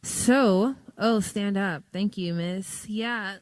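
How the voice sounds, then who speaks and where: A woman's voice: mid-range voice, very slow, darkish tone, a little hiss or hum. A woman leading a youth session, casually directing a crowd at a conference. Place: large conference hall.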